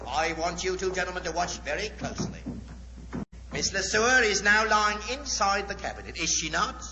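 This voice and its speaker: Showy male stage magician, florid and courtly, high-pitched, echoing through an old noisy recording. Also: lively intonation, normal speed. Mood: showy, commanding. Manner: florid, theatrical, formal patter with hesitations. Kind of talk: 1940s radio drama acting. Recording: noisy, muffled 1940s radio recording, echoey stage.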